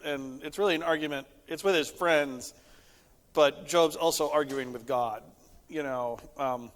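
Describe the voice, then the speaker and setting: A man's voice: on the high side, a little slow, fairly bright tone. A man who is a pastor, talking casually about faith in a conversational, storytelling way with frequent asides. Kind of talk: casual theological discussion. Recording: echoey hall with long reverb.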